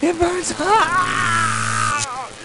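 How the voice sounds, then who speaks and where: A man's voice: very high voice, steady tone, drawn-out words, vocal fry, very noisy, voice pushed up, heavily acted. A man voicing a condemned prisoner burning during execution, shrieking desperately, in a horror motion comic. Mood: tormented shrieking.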